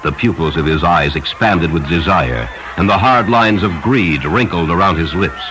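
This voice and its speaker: A man reading a literary tale as a dramatic narrator, in a measured and solemn manner. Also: low-pitched, lively intonation, noisy background. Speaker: audiobook narrator. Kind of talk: scripted dramatic storytelling narration.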